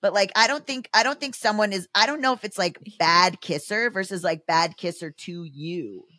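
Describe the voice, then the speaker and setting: A woman's voice: medium pitch, normal speed, sharp tone, no room sound, clean studio sound. A woman co-hosting a podcast, chatting in a casual, comedic way and animatedly acting out what people would say. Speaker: podcast co-host.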